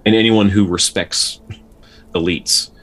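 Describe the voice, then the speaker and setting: A man with a deep voice co-hosts a casual story podcast. He talks haltingly and circles back over his own phrases. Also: steady tone, a bit bright, soft background music. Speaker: podcast co-host.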